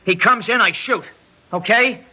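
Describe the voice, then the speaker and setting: A man's voice: sits high, unhurried, over loud music. An actor playing a cocky, jumpy young gunman with tough, slangy threats in an old-time radio drama. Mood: threatening. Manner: tough slangy street talk, barked threats. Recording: muffled old radio recording.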